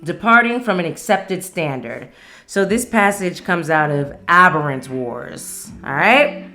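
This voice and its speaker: A woman's voice: on the low side, animated, a little slow, bright, forceful tone, faint music behind. An American woman hosting a solo podcast, speaking in a blunt, emphatic, slangy way. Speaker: podcast host. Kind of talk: casual solo podcast monologue.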